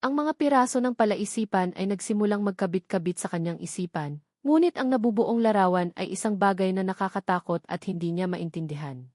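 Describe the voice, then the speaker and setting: A woman with a Filipino accent narrating a scripted love story in a measured, expressive, dramatic way. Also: medium pitch, no room sound, clean studio sound.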